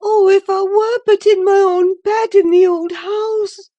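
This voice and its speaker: A woman reading an audiobook, voicing a delirious, frightened young woman in a high, breathless, anxious voice. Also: slow, dark tone, highs cut off. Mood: pleading. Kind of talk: audiobook character voice.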